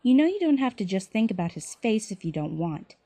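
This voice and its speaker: A woman playing a gentle, patient young woman who explains things softly in an audio drama. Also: medium pitch, very animated, fairly quick, slightly rough, dry recording. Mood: gentle, explanatory.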